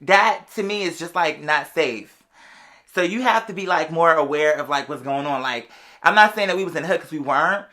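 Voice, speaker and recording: Bright, sassy storyteller in full gossip mode. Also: medium pitch, brisk. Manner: animated, sassy, slangy, addressing listeners as "girl". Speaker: podcast or live show host. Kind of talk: casual storytelling monologue to an audience.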